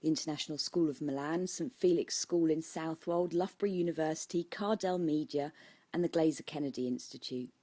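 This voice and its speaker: A woman's voice: low voice, fairly quick, mellow, no echo. A woman with a British accent reading her own book aloud as scripted audiobook narration.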